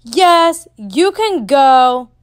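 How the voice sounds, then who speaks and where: A woman's voice: high-pitched, sing-song, drawn-out words, exaggerated voice. A woman voicing a mean Pharaoh as an exaggerated puppet villain in a children's show. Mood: defeated. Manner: scoffing, exaggerated.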